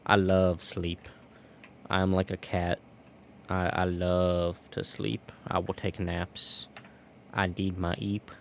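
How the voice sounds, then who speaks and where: Low creaky-voiced guy rambling on a game stream at home, faint hiss behind. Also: monotone, slow. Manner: rambling, restarts words often. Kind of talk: casual chat while gaming.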